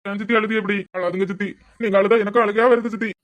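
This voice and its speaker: A woman's voice: mid-range voice, very fast, dull audio, very noisy, close to an everyday voice. A woman playing a devoted, tender-hearted young stepdaughter in a family melodrama, earnest and pleading. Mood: tender, soothing.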